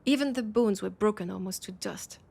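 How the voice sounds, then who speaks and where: A woman with a French accent playing a proud, worried vampire of a supernatural council in an intimate audio roleplay, speaking in an earnest, halting way. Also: medium pitch, gravelly, dark tone, breathy edge. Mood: grave, troubled.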